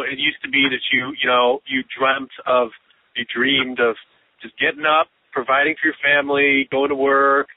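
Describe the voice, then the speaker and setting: A man's voice: mid-range voice, no room sound. An American man chatting casually with a friend in rambling, sardonic rants, a bit congested and short of breath. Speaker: podcast host. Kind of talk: casual phone chat between friends. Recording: muffled lo-fi phone-call audio.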